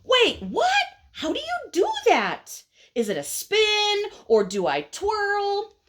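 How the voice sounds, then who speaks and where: Lady doing a whiny little caterpillar guy for a kids book, super high, clueless, eager, bouncy pitch. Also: huge pitch swings, takes it slowly, slight background noise, heavily acted. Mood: impatient, pestering. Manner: whining, pestering with eager questions. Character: whiny, impatient, clueless young male caterpillar, excitable. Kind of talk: animated storybook read-aloud.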